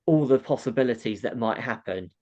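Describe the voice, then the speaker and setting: A man's voice: medium pitch, fairly quick, a little mellow, lo-fi sound. A man with a nasal voice, a comedian chatting casually as an interview guest, laughing often and acting out how audiences react.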